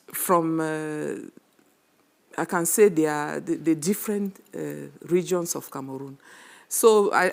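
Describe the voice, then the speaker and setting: Woman ex arts official, slow gravelly voice, lots of uh and restarts. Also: low voice, a little mellow. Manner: halting, many fillers. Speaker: former regional arts delegate. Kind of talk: panel talk show answer.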